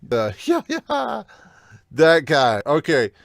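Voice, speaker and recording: A man hosting a podcast, chatting casually and excitably through a manga read-along, with some swearing. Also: on the high side, slow pace, gravelly, no room sound, studio quality. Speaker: podcast host.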